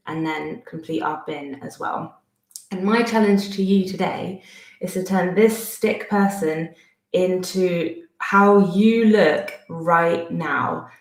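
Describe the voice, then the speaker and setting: Woman, 30s, Bristolian accent, art lesson, self-deprecating, lowish voice, echoey room. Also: darkish tone. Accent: Bristolian English. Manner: chatty, self-deprecating, thinks aloud while drawing. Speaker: art teacher. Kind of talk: friendly step-by-step drawing lesson. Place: home studio desk, indoors.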